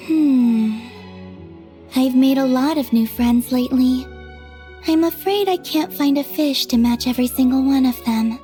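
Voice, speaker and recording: A woman playing a gentle, dreamy young maiden in an anime video game, speaking softly and wistfully. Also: sits high, measured pace. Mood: thoughtful. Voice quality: soft, breathy. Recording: faint music behind.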